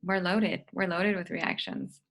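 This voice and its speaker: Woman asking questions real slow and gentle, guiding someone through it. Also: fairly low pitch, fairly even intonation, vocal fry. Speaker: facilitator guiding the inquiry.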